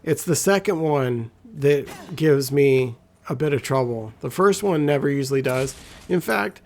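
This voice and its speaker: A man with a scratchy, gravelly voice giving laid-back, rambling commentary as a gameplay guide. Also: mid-range voice, expressive, measured pace, a little mellow. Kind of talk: casual walkthrough commentary.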